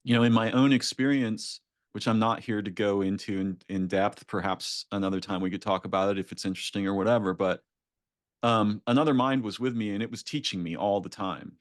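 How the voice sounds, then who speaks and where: A man's voice: fairly low pitch, steady tone, brisk, dry recording, studio quality. A man hosting a conversational interview, speaking reflectively and often checking in with "right?". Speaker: podcast host.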